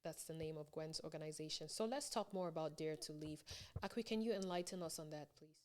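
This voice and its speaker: A woman's voice: low voice, brisk. A woman hosting a podcast, politely thanking guests and moving the conversation along. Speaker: podcast host.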